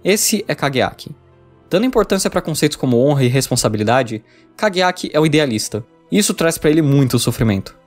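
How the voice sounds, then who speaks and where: A man's voice: on the high side, very animated, fairly quick, faint music behind. A man with a Brazilian accent giving scripted narration for a video essay.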